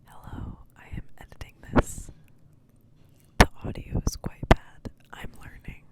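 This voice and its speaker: A young woman whispering an ASMR chat, soft and self-conscious, rambling and giggling as she paints her nails close to a handheld mic. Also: unhurried. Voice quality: deep voice with an odd cadence. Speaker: vlogger. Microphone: handheld mic held close.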